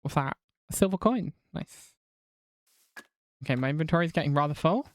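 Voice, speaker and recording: A woman's voice: low voice, animated, brisk, a little hiss or hum. A woman with a mixed southern and northern English accent, chatting casually while streaming a game and swearing now and then. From England. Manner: chatty, swears casually, reads chat aloud.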